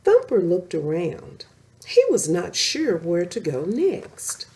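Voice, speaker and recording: Female children's storyteller, mellow tone, unhurried delivery, lively expressive read for bedtime audiences. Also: on the low side, rough recording. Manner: animated, slow-paced reading addressed to young children.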